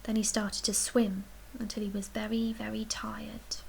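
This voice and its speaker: A woman reading a children's picture book aloud as a storyteller, expressively, with dramatic emphasis and hushed, breathy dips. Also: mid-range voice, flat delivery, normal speed, a little hiss or hum, poor audio. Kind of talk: expressive read-aloud bedtime story narration.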